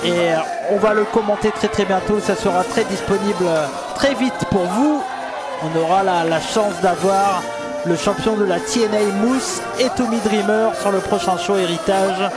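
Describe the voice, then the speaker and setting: A man from Brittany calls a wrestling show in a lively, talkative manner, his voice sitting high. Loud crowd noise and music play under his commentary. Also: moderate pace. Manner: lively, chatty, often addresses co-host.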